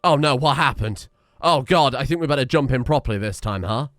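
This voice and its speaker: A young man talks through a strategy game with excited exclamations and rambling restarts. His voice sits in an ordinary middle register. The sound is close and dry, with no room around it. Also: medium pitch, fairly quick, no room sound. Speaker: gaming streamer. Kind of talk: casual let's-play commentary.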